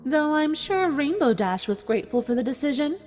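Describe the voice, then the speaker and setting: Cruel female cartoon villain pony, acted by a woman, slightly high voice, deliberate, mock-sympathetic, background music. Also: big pitch swings, darkish tone. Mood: coolly mocking. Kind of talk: dramatic fan fiction audio reading. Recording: music behind the voice.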